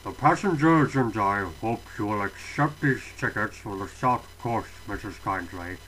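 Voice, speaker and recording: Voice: medium pitch, expressive, measured pace, a bit bright, slight background noise, low-quality recording. A performer voicing a dignified railway director who speaks formally and politely in a fan audio drama.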